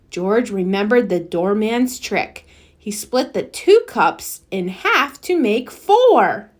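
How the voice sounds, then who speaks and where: A woman reading a children's picture book aloud in an expressive, deliberate storytelling manner. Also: a little high. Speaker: storybook reader.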